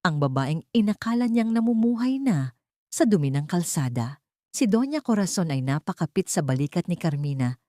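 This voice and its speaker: Female narrator for melodramatic audio fiction: slightly low pitch, highly animated intonation, scripted delivery. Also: normal speed, dry recording, studio quality. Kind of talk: dramatic scripted story narration.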